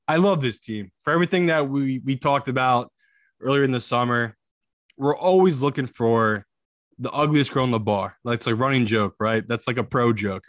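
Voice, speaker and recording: A man's voice: mid-range voice, a bit bright, no echo. A man giving casual, opinionated sports betting analysis as a co-host, informal and enthusiastic, repeating phrases for emphasis.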